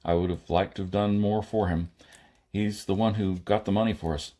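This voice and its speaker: A man voicing a gruff, no-nonsense spaceman with a curt, matter-of-fact delivery in an audiobook. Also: low voice, steady tone, moderate pace, mellow. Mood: gruff, brusque.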